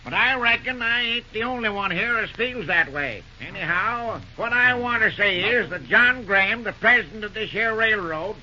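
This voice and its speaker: An actor playing a stubborn, indignant country stockholder with a rural American accent and a folksy, blunt way of talking in an old-time radio drama. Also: male voice, high voice, expressive, normal speed, hardly any pauses, sharp tone, a little hiss or hum, heavily acted. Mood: stubborn, earnest. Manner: folksy, blunt drawl with homespun grammar. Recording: dull old radio recording.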